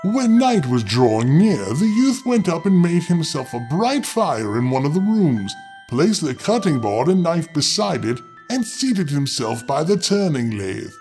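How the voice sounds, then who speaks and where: A man's voice: on the high side, big pitch swings, a little slow, darkish tone. A man narrating a fairy tale as a storyteller, theatrical and impish in his delivery. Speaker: storyteller, host. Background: music.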